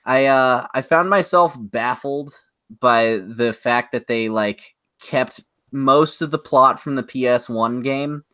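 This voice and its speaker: A man's voice: mid-range voice, slow pace, sharp tone, clean tone, no room sound. Casual podcast guy, dry close sound. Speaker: podcast co-host. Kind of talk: casual opinionated chat about movies and games.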